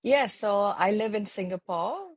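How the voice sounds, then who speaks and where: A middle-aged woman from India speaking as an interview guest on a video call, thoughtful and measured, pausing often with fillers. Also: mid-range voice, lively intonation, slightly rough, dry recording, studio quality. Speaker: interview guest, tech channel lead.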